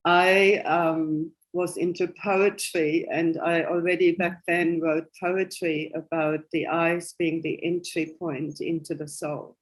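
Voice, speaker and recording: Casual: german lady, sixties, talks slow and chatty, keeps laughing at her own stories. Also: fairly low pitch, little pitch movement, low-quality recording. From West Germany. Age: 60s. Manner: chatty and self-amused, laughing often between thoughts. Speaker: guest. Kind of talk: casual conversational storytelling.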